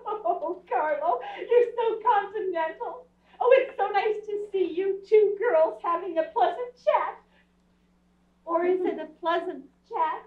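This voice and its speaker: Scatterbrained rich mother, very high voice, dramatic and gushing, radio play, echoey stage, distant mic. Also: a little slow, phone-quality sound. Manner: gushing, theatrical. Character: fluttery, scatterbrained wealthy society mother, doting and dramatic. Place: theatre stage before an audience.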